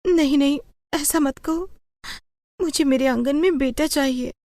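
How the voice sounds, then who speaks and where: A woman's voice: sits high, measured pace, warm tone, dry recording, clean studio sound, pitched above the performer's own voice. A woman voicing an anxious, pleading young married woman in a dramatised moral story. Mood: distressed. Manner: pleading, fretful.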